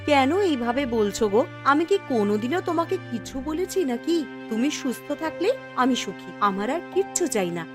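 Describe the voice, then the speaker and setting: A woman playing a gentle, devoted young village wife in a cartoon, speaking in a tender, coaxing way. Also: high voice, sing-song, normal speed, over music.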